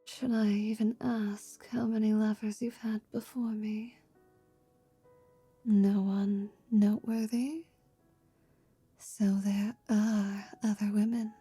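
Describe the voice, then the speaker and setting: A woman playing a confident, teasing half-vampire wizard in an intimate ASMR roleplay, speaking in a soft, languid whisper. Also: medium pitch, level tone, slow pace, pauses often, breathy edge, no echo, soft background music. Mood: teasing, curious.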